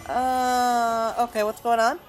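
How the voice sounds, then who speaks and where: A woman's voice: on the high side, level tone, takes it slowly. A woman giving casual gameplay commentary, rambling and thinking aloud as she plays. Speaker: gamer, let's play host. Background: game music.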